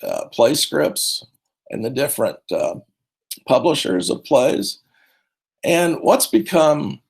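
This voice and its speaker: A man's voice: mid-range voice, creaky, husky. A middle-aged man, a speech and debate coach, giving a rambling conference talk full of fillers and restarts.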